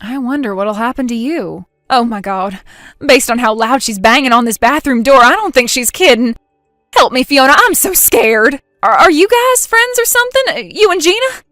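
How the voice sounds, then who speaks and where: A woman voicing a frantic, selfish young woman who talks in shrill, panicky outbursts. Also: normal speed, fairly bright tone, no echo, clean studio sound, natural voice. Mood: panicked.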